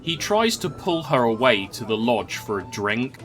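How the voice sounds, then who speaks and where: Animated male fantasy story narrator. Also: mid-range voice, normal speed, soft background music.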